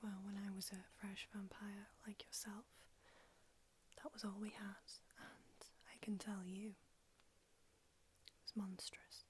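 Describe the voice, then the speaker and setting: A woman's voice: mid-range voice, little pitch movement, slow, pauses often, lightly acted. A woman whispering in an ASMR roleplay, voicing a brusque, haughty old female vampire doctor with a dry manner. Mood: whispery, brusque.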